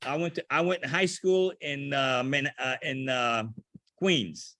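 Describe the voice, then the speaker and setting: A man's voice: mid-range voice, measured pace, sharp tone, no room sound. An older man from Haiti leading a ground school meeting on a video call, talking casually and often restarting his phrases.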